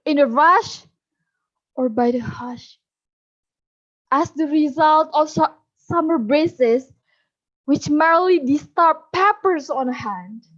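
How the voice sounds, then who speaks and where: A woman reciting a spoken-word poem in a slow, measured delivery with a bitter edge. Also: high voice. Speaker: poetry contestant. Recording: dull lo-fi recording.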